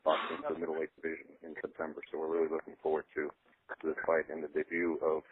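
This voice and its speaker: A man giving an unscripted promotional statement as a boxing promoter on a conference call, measured, halting and matter-of-fact. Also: very deep voice, monotone, a crowd in the background. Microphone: telephone conference call. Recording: dry, narrow telephone line.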